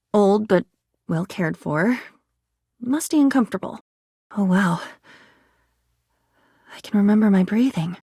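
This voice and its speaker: A high, swooping female voice plays a living diary come to life in a video game. She is wistful, curious and excitable, musing haltingly and moving theatrically between hushed thought and exclamation. The sound is dry and close. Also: no room sound, full character voice. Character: sentient diary, wistful, curious, excitable, newly self-aware woman.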